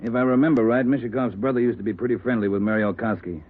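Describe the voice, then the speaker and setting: An American actor playing a gruff, demanding veteran police captain in an old radio drama, speaking curtly. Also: male voice, mid-range voice, steady tone, fast, natural voice. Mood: brusque, demanding. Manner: brusque, curt. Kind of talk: 1950s radio drama acting. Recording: thin, tinny old radio broadcast.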